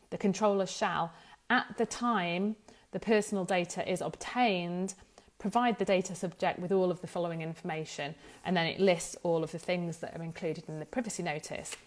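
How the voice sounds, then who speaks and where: A woman giving an informal explanatory talk as a legal expert, thinking aloud and correcting herself as she goes. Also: fairly low pitch. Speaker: data protection law expert presenting an explainer.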